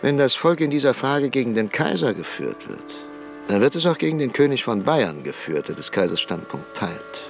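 An actor playing an old, cautious parliamentarian professor in a radio drama, formal and hesitant with frequent pauses. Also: male voice, medium pitch, sing-song, gravelly, music in the background. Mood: earnest. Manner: formal, hesitant with ähs, pleading. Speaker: parliamentary deputy.

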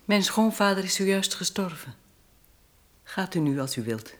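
A woman's voice: on the low side, animated, normal speed, natural voice. An actress in a radio drama playing a proud, bitter aristocratic lady in mourning, formal and restrained. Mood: cold, curt.

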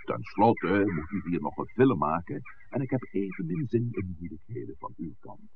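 An actor playing an older, authoritative village priest, speaking formally and eloquently in a 1960s radio drama. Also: male voice, fairly low pitch, vocal fry, exaggerated voice. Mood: measured, firm. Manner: formal, measured, eloquent, commanding. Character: old village priest, authoritative, stern, protective of his flock. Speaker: parish priest. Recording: tinny, noisy 1960s radio recording.

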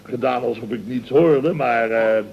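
An actor playing a pompous, excitable, sentimental middle-aged contractor boss in radio comedy, blustering, stammering and repeating himself. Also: male voice, medium pitch, unhurried, creaky, slight background noise. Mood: sentimental pride. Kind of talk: 1970s Dutch radio comedy acting. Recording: dull vintage radio recording.

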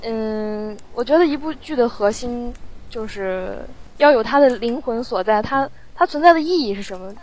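A woman interviewed in a casual chat, talking in a halting, reflective way as she explains her work. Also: on the high side, expressive, darkish tone. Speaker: audio drama sound producer, interview guest.

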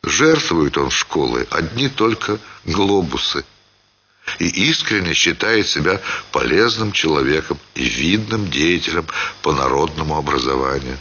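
A man reading a literary short story as expressive scripted narration, with dramatic, theatrical phrasing. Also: very deep voice, a little slow, highs cut off, a little hiss or hum.

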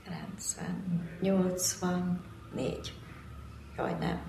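An older woman giving short, unsure, halting answers as a patient being tested. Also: fairly low pitch, flat delivery, creaky. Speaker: patient taking a memory test. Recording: roomy, noisy on-location recording.

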